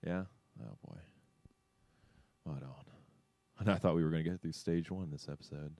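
A man's voice: extremely low pitch, normal speed, gravelly, darkish tone, no echo. A man co-hosting a live show, chatting casually as he plays a video game. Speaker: co-host playing the video game. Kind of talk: casual live gameplay chat.

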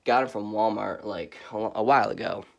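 A young man with a stuffy, cold-blocked voice, chatting casually in a rambling way as he gives a vlog tour of his dark bedroom, recorded on a phone held at arm's length. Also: lowish voice, a little fast, gravelly. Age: young adult. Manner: chatty, rambling, lots of fillers. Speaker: vlogger. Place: dark bedroom lit by Halloween lights. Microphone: handheld phone camera at arm's length.

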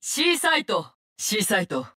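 An actress playing a cold, vengeful poison-wielding assassin in a Japanese-dubbed video game, speaking in curt, contemptuous, commanding lines. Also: female voice, medium pitch, wildly swooping pitch, takes it slowly, sharp tone, heavily acted. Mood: curt, tactical.

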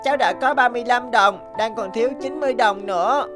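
A woman's voice: on the high side, quick pace, close to an everyday voice. A woman voicing a polite, eager, determined young street boy in a cartoon. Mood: earnest pleading. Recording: music behind the voice.

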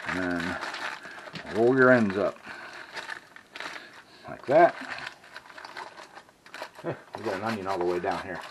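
A man's voice: low-pitched, background noise. A man hosting a casual cooking video, talking folksy to viewers while he preps and grills. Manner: folksy, talks to viewers as "y'all". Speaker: cooking channel host. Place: home kitchen, then outdoor grill. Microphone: handheld camera mic.

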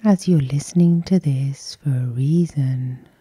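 A woman leads a sleep hypnosis session in a very dark, mellow voice. It is extremely breathy, close to a whisper, and very slow. Her delivery is soft and lulling, in the manner of ASMR. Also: rumbling low, no echo.

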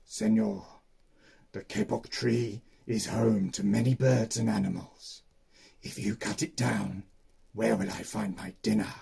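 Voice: mid-range voice, fairly even intonation, measured pace, gravelly, warm tone, breathy edge, heavily acted. A storyteller voicing a hungry jaguar with a growling delivery. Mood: menacing.

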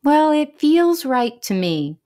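An actress playing a sincere, sentimental daughter-in-law in a comedy audio drama, speaking earnestly and haltingly. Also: female voice, high voice, very animated, mellow, exaggerated voice. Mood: earnest, defensive. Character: sincere, sentimental woman eager to belong with in-laws.

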